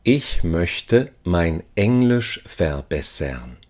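A man reading short phrases for a language lesson, saying each one slowly and repeating it. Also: deep voice, sing-song, a little mellow. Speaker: language teacher.

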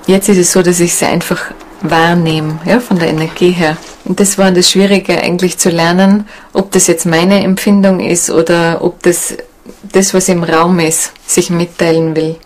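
A woman's voice: fairly low pitch, level tone, moderate pace. A woman giving a hesitant, reflective spontaneous account in an interview. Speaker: interviewee, nature guide. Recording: clearly noisy ambient background.

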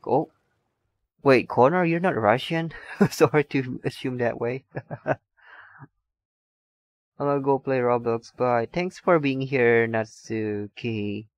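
Slow-talking young man streaming a game. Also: mid-range voice, no echo. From Indonesia. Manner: chatty, halting, many restarts and laughs. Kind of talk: casual live-stream chat reading viewer comments. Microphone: shotgun microphone, not facing it. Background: faint game music.

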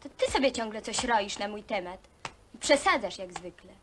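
A woman's voice: mid-range voice, expressive, moderate pace, lightly acted. A young actress playing a stubborn, earnest daughter arguing with her parents in a naturalistic film drama. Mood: defensive. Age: young adult. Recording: old Polish film soundtrack, faint noise.

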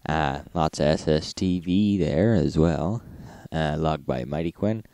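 Male host for informal log recap: mellow, dry-recorded, matter-of-fact delivery. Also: a bass voice, a little slow, no room sound.

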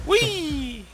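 A man hosting a gaming channel, ranting and chatting with friends while racing, sarcastic and profane. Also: very high-pitched. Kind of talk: rant to camera then casual gaming chat. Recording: game music behind the voice.

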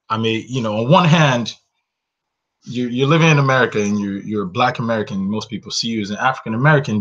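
A man from Northern Nigeria with a low voice talks through a webcam in a small room. His sound is dull and lo-fi. He speaks earnestly and personally, often pausing on "you know.". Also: fairly bright tone. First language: Hausa. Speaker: webinar host. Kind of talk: reflective solo webinar talk. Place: bedroom indoors, small. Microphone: webcam, close framing.

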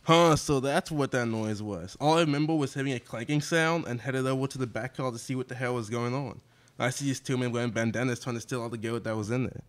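Young male actor, dazed train guard, apologetic, middle pitch, live radio Western. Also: quick pace, lightly acted. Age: young adult. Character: groggy, apologetic train guard just knocked out. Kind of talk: student live radio play, read from script. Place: classroom studio, indoors.

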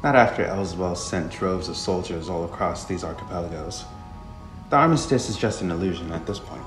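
A man voicing a friendly, plainspoken delivery woman in a visual novel, chatty and expressive. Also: sits low, a little fast, close to an everyday voice. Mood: somber. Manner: expressive, animated. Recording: echoey room under music.

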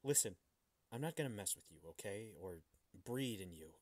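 A man reading the part of a grouchy, defensive alien parasite in a podcast story, huffy and halting. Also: lowish voice, lively intonation, darkish tone, no room sound. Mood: huffy, pleading. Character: grouchy, defensive alien parasite living inside a man's body. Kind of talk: hobby podcast dramatic reading.

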